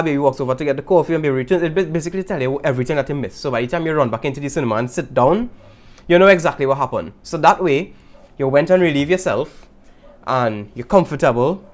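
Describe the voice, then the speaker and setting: Vlog host, young trini guy, quick talk, raised voice, lively, gestures and laughs. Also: a little high. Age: young adult. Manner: animated, gesturing, laughing asides. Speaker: YouTube host. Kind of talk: casual vlog talk to camera. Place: small indoor room with guitars.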